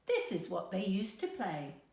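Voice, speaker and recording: A woman's voice: mid-range voice, huge pitch swings, unhurried, creaky, a little mellow, echoey room, heavily acted. A woman voicing a doting but competitive Kenyan-born grandmother in a storybook read aloud. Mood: playful.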